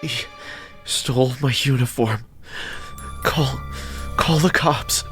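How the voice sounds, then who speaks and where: Man voicing a beaten male salesman, weak, sobbing, halting, dazed, horror tale, music behind. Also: medium pitch, fairly bright tone, pitched above the performer's own voice. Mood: pained.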